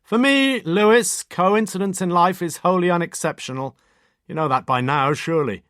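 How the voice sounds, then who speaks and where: A man's voice: high-pitched, normal speed, fairly bright tone, no echo, voice pushed up. A man voicing a sardonic, lofty middle-aged detective inspector with a dry, clipped manner.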